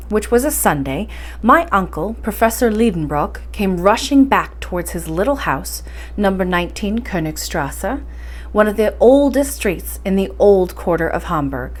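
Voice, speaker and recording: A woman hosting a library story time, speaking and reading aloud in an expressive, encouraging way. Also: medium pitch, moderate pace. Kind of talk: bedtime story reading and introduction.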